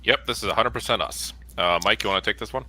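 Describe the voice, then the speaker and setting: A man's voice: lowish voice, quick pace, noisy background. A man giving casual, conversational answers as an interview guest. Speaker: guest, comics and art studio member.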